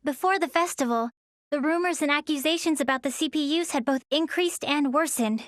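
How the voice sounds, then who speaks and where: Woman as high-voiced, sweet earnest teenage girl. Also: brisk, slight background noise, close to an everyday voice. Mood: gentle narrating. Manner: gentle, polite, earnest. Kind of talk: anime game acting and story narration.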